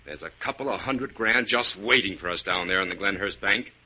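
An actor playing a gruff, bossy bank robber ringleader in a slangy 1940s radio crime drama. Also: male voice, on the low side, fairly quick, over music, natural voice. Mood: brisk, scheming. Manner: gruff, commanding, slangy tough-guy talk. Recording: scratchy, dull 1940s radio recording.

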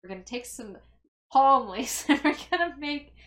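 A woman's voice: high voice, expressive, moderate pace, fairly bright tone. A woman playing a tabletop role-playing game, chatting casually in a playful, rambling way.

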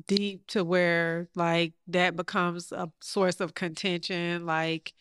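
An enthusiastic woman hosts a podcast and asks her questions in a lively, upbeat way. Her voice is bright and smooth, recorded dry and close. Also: fairly low pitch, slow, no echo. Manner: enthusiastic, upbeat, says "like" often. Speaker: podcast host. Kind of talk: casual interview.

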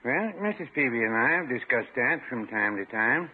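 An actor playing a dry, mild older druggist with a deadpan, understated manner in a 1950s radio comedy. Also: male voice, mid-range voice, moderate pace, slightly rough. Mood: polite, dry. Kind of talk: 1950s radio sitcom acting. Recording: muffled, very noisy old radio recording.

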